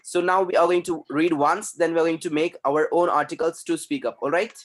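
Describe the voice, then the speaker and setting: A man's voice: on the high side, steady tone, brisk. A man teaching an online English class, reading aloud and correcting students' pronunciation, often repeating his instructions.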